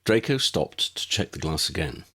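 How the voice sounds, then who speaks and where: A man reading a story aloud in an expressive narrating style, with a gravelly, husky voice. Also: very deep voice, normal speed. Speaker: audiobook narrator.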